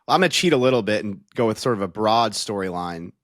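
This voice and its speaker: A man's voice: lowish voice, expressive, quick pace, a bit bright, dry recording, clean studio sound. An American man giving conversational sports analysis as a guest reporter, explaining methodically point by point.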